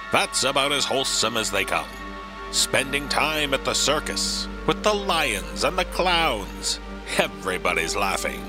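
Slow, theatrical old-time radio announcer. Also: male voice, medium pitch, rough recording. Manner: theatrical, deliberate. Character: suave, ominous male old-time radio horror announcer. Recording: music behind the voice.

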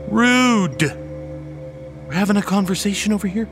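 A man's voice: sits high, moderate pace, over music. A young man playing a cocky, goofy college student in an audio horror drama, flippant and casual. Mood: indignant, flustered. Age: young adult. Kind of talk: radio horror drama acting.

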